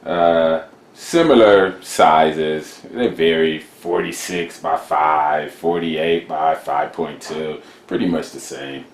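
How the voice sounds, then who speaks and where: A man's voice: extremely low pitch, takes it slowly, echoey room, slight background noise. A man casually rambling as he shows cigars from his humidor in a vlog. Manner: laid-back, rambling, chatty with fillers. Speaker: cigar reviewer. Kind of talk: casual show-and-tell vlog. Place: room beside his humidor.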